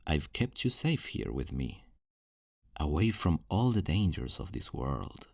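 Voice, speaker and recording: A man's voice: very deep voice, sing-song, unhurried, gravelly, darkish tone, dry recording, clean studio sound, exaggerated voice. A man playing a possessive, self-righteous kidnapper in an ASMR roleplay, speaking in a condescending, coaxing, menacingly tender way. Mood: condescending tenderness. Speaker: audio roleplay performer.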